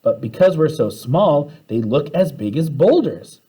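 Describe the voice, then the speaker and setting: A man's voice: on the high side, big pitch swings, measured pace, warm tone, husky, heavily acted. A man voicing an unflappable, upbeat woman schoolteacher in a children's storybook, theatrical and assured. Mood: assured, explaining. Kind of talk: children's storybook read-aloud.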